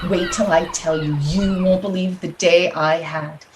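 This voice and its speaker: A woman's voice: lowish voice, steady tone, measured pace, background noise, close to an everyday voice. A woman playing a bold, chatty, gossipy friend in a radio play. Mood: gossipy, lively. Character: brassy, gossipy wine-loving woman friend.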